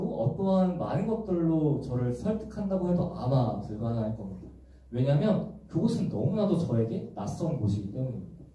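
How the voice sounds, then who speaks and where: A young man preaching to a church youth group in a gentle, explanatory way, often asking the congregation questions. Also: mid-range voice. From Busan, South Korea. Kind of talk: sermon. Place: large echoey church hall. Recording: echoey church hall from far off.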